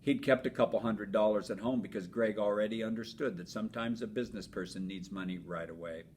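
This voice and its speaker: A man who teaches elementary school reads a children's storybook aloud in an expressive, emphatic way. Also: brisk. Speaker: fifth grade teacher reading aloud. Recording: noisy with low rumble.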